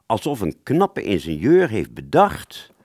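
A man playing a wounded British fighter pilot, telling his story in a radio play with a husky voice and halting, stammering delivery. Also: fairly low pitch, huge pitch swings, a little mellow, full character voice. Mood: haunted, halting. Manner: halting, stammering, repeats words. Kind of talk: first-person dramatic narration in a radio play.

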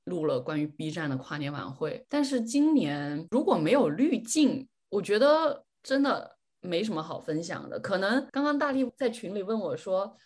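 A woman's voice: medium pitch, very animated, moderate pace, hardly any pauses, darkish tone, husky. A woman co-hosting a podcast in a casual, conversational chat style. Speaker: podcast co-host.

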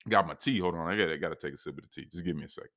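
A man's voice: low-pitched, very fast, a bit bright, no echo, studio quality. A man in his late thirties from North Carolina, talking casually about sports fandom with a rambling, conversational manner and a slightly scratchy voice. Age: 30s. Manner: rambling, conversational, many restarts and asides. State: scratchy voice, sipping tea. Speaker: sports fan commentator. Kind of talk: casual monologue.

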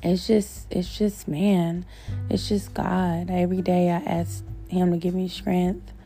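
A woman hosts her podcast in a relaxed, encouraging voice that sits a little low and sounds mellow. She talks to her listeners with affection and speaks of faith and gratitude. Soft guitar music plays faintly underneath. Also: slow. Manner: warm, encouraging, spiritual, talks to listeners as "my babies". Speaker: podcast host. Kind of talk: casual podcast chat.

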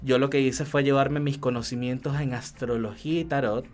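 A man's voice: medium pitch, steady tone, normal speed, a little mellow. A man with a Venezuelan accent telling his own story conversationally as a radio guest, often adding short check-in tags. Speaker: interview guest, tarot reader and astrologer. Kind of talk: conversational personal account on radio.

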